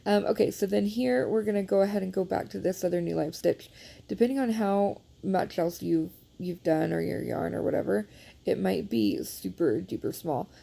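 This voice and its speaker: A woman's voice: medium pitch, moderate pace, creaky, dark tone. A woman demonstrating a knitting repair in a chatty, self-deprecating way, congested and sniffling, recorded on a phone. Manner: chatty and self-deprecating, many fillers, apologetic. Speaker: knitting instructor. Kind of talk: casual how-to demonstration. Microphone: phone held nearby.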